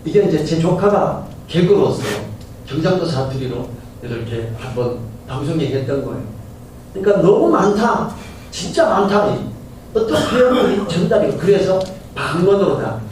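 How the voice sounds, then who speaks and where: A man's voice: fairly high pitch, sing-song, normal speed, a little mellow. A middle-aged man preaching a Sunday sermon as senior pastor, talking in a conversational way and putting questions to the congregation. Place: church sanctuary. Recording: very echoey church hall from far off, faint hiss.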